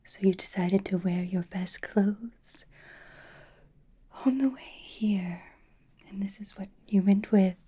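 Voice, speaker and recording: Slow, whispering, flirtatious vampire played by a woman. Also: mid-range voice, fairly even intonation, mellow. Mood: teasing, flirtatious. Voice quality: breathy whisper. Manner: coy, theatrical, old-fashioned endearments, lingering phrasing. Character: flirtatious, doting, teasing female vampire companion. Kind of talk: whispered ASMR roleplay.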